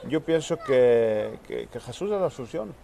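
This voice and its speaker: A man's voice: medium pitch, animated, measured pace, creaky, dark tone, slight background noise. Earnest man, hesitant interview answers. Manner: earnest, searching for words with many hesitations. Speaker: aid charity coordinator.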